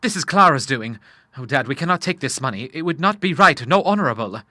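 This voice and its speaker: A man's voice: fairly high pitch, animated, fairly quick, sharp tone, no room sound, studio quality, pitched above the performer's own voice. A man voicing an earnest, honourable grown son in an audiobook, speaking formally and with emphasis. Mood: astonished, protesting. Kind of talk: audiobook character voice.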